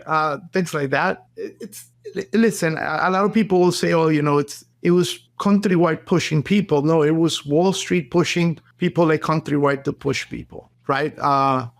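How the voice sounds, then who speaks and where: A man's voice: on the high side, dry recording. A middle-aged man with a Colombian accent, a native Spanish speaker, telling stories casually as an interview guest. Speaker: podcast guest, real estate entrepreneur. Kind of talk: casual anecdotal interview talk.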